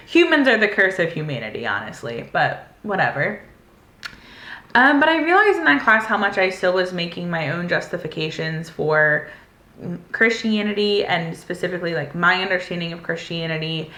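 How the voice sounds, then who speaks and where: Young adult woman, candid and rambling storyteller, lowish bright voice, reverberant room. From United States. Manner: candid, rambling, frequent "like" fillers and restarts. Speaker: vlogger. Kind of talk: casual personal storytelling. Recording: echoey room.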